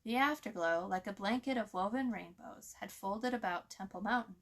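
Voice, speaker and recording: A woman storyteller reading a children's book aloud in an expressive narrating style. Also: medium pitch, moderate pace.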